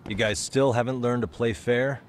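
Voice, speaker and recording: An actor playing a calm, streetwise private detective in a video game dub, with a cool, probing, wry delivery. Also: male voice, mid-range voice, moderate pace, a little hiss or hum, lightly acted. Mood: cool, warning.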